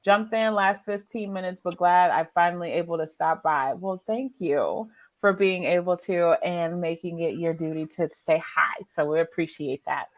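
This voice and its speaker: A woman's voice: on the low side, moderate pace. A woman hosting a book readalong livestream in an informal, chatty way, talking to co-hosts and viewers. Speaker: livestream host.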